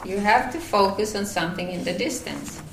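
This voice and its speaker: A woman from Finland with a Finnish accent, giving a devotional talk at a retreat, halting with many fillers and restarts. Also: medium pitch, lively intonation, vocal fry, echoey room, distant mic, slight background noise. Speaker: retreat speaker.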